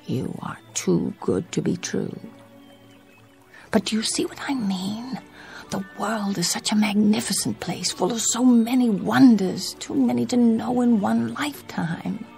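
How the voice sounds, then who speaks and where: Coy old-hollywood star, breathy whispery voice, a bit of fry, music under it. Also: female voice, a little high, animated, measured pace, mellow. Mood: dreamy. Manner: flirtatious, coy. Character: ageless, seductive female film star. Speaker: actress in a radio drama. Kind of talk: radio drama acting.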